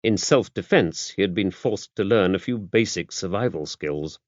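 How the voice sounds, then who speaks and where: A British man narrates a mystery novel in a fairly low voice. His delivery is scripted audiobook storytelling. The sound is very dry, with a slightly muffled, lo-fi finish. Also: lively intonation, moderate pace, no echo.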